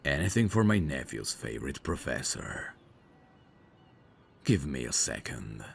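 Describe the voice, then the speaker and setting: A man's voice: rumbling low, big pitch swings, unhurried, gravelly, a little hiss or hum, heavily acted. A man playing a suave, dangerous mafia boss in an ASMR roleplay, cocky, flirtatious and teasing. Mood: smooth, insistent. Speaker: voice actor.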